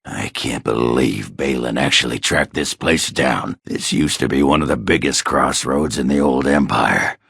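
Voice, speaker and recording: An extremely low, husky dwarf warrior from a fantasy game. He is gruff and crude, grumbling bluntly in salty language. The sound is dry and close, with no room on it. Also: a bit bright, no echo, full character voice. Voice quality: very deep, gravelly, rough. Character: gruff, crude dwarf warrior searching for his lost love. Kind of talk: video game character dialogue.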